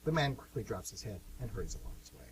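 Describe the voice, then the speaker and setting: A man's voice: fairly low pitch, sing-song, fast. A man hosting and narrating a scripted amateur radio play. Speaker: host and narrator. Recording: amateur radio with clear hum noise.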